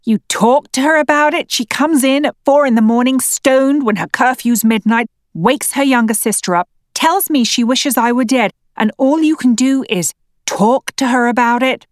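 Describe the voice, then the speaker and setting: A woman voicing a furious stepmother arguing with her husband, sharp and insistent. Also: a little high, animated, unhurried, dry recording, clean studio sound. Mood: indignant. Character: furious defensive stepmother in a family fight.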